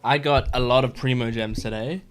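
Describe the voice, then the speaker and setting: A man giving casual, chatty and excitable commentary as he streams a video game. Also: mid-range voice, normal speed, over music.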